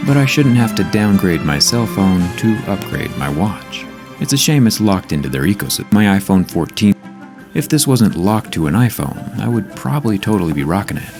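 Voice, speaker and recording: Deep-voiced man narrating a tech review. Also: big pitch swings, moderate pace. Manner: upbeat, explanatory, product-testing tone. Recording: narration with clear background music. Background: background music.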